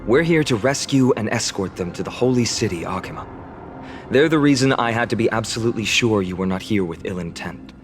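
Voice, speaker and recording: An actor playing an earnest, polite young hero in a game cutscene. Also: male voice, lowish voice, close to an everyday voice.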